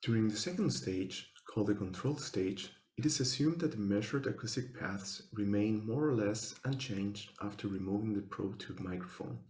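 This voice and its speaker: A man giving a scripted technical presentation as a researcher, speaking formally and in measured, step-by-step phrases. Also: on the low side, darkish tone, roomy sound.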